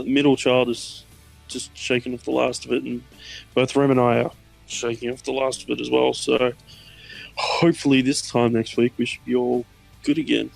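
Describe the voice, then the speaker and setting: A man's voice: mid-range voice, gravelly. An Australian man co-hosting a gaming podcast, a father with young kids, chatting and joking casually. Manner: relaxed, joking, chuckles often. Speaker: podcast co-host. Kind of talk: casual chat.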